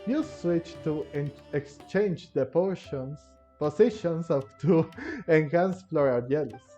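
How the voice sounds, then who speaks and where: A man doing casual, halting let's play commentary, rambling and sometimes reading game text aloud. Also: mid-range voice, dark tone. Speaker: gaming video host. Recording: dull lo-fi mic, faint game music. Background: game music.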